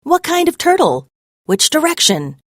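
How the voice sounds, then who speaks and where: A woman reading the part of a businesslike, knowledgeable girl in a children's story, brisk and instructing. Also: high voice, huge pitch swings, fairly bright tone, no echo, clean studio sound, pitched above the performer's own voice, full character voice. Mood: demanding. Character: businesslike, knowledgeable girl leading a turtle patrol.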